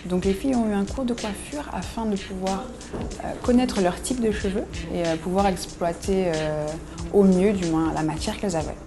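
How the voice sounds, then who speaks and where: A woman organizing a pageant who explains its lessons in a measured way and often pauses to hesitate. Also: medium pitch. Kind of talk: explanatory interview commentary. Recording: music bed underneath.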